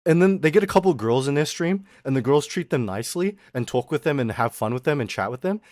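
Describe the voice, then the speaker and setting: A young man streaming as a VTuber, ranting in a casual, animated way. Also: fairly high pitch, dry recording, studio quality. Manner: animated, exaggerated, quick mock voices and laughs.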